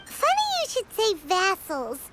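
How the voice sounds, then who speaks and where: A woman voicing a small, excitable, childlike fairy companion girl with a squeaky, expressive delivery in a video game cutscene. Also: unhurried, heavy background noise, heavily acted. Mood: chatty. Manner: refers to self in third person, expressive. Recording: loud game music behind voice.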